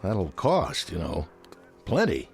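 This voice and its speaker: An actor playing a wisecracking, uneasy American bartender in a radio drama, with folksy, placating chatter. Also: male voice, low voice, huge pitch swings, slow, vocal fry, exaggerated voice. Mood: nervous, placating. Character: wisecracking, uneasy big-city bartender trying to placate danger. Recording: music bed behind.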